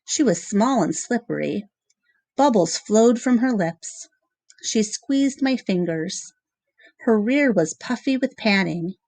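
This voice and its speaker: Woman doing a read-aloud of a kids book, slow n expressive, pauses between bits, dry sound. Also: mid-range voice, dry recording. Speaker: reader reading a children's novel aloud. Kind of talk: read-aloud storybook narration.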